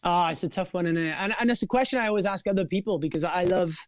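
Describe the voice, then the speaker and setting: A man with a notably high voice, chatting about writing his music with earnest reflection. The sound is close and dry, with no room around it. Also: fast, no echo. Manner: earnest, reflective, says "you know" and "man" a lot. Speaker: vocalist and songwriter guest. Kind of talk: casual podcast conversation.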